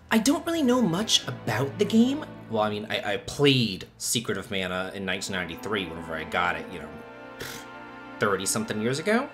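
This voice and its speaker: Gushing man with very lively intonation. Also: medium pitch. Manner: gushing, exclamatory, thinks aloud. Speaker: let's play host. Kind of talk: casual gameplay commentary.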